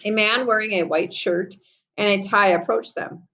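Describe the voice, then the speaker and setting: A woman reading a children's story aloud with deliberate storytelling phrasing. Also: medium pitch, steady tone, bright, forceful tone, rough recording.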